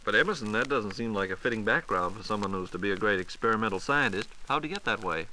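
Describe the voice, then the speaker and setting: A man's voice: fairly low pitch, expressive, quick pace, bright, forceful tone, a little hiss or hum, poor audio. An American man with a folksy, prompting manner, co-hosting a scripted 1940s radio program on an old transcription recording. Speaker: radio co-host asking questions.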